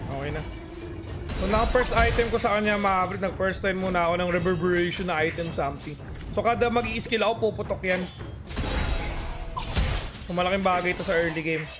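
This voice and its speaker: Filipino guy streaming a game, high voice, slangy and hyped, game music under it. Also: fairly quick, long pauses. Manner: excitable, slangy, repeats catchphrases. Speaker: gaming streamer. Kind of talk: live mobile game commentary. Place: indoor gaming setup with headrest chair. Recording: voice over loud game music.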